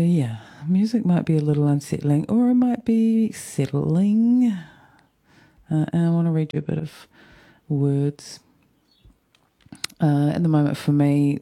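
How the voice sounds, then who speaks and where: Croaky kiwi radio host, super dark low-key voice, kinda flat, musing out loud. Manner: meandering, thoughtful, soft-spoken. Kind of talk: casual reflective solo talk.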